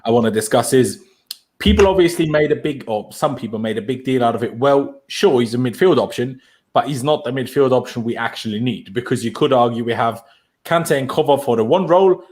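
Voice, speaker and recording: A man hosting a football podcast over a video call. He talks casually and gets more animated when he argues a point, pushing his voice up for emphasis and laughing often. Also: mid-range voice, a little fast. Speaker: podcast host.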